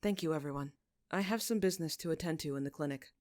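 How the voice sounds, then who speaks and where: A woman's voice: low voice, fast talker, a little mellow, no echo, studio quality, lightly acted. Solemn, weary young lord voiced by a woman. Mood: concerned. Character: earnest, remorseful young male lord, dutiful and weary.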